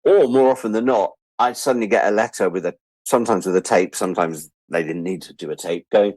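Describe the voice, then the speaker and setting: A middle-aged British man chatting casually as an interview guest, telling stories in an animated, self-deprecating, joking way. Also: lowish voice, quick pace, no room sound. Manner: animated storyteller who acts out remembered exchanges. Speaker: interview guest, audio drama producer and director. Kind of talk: casual anecdotal interview talk.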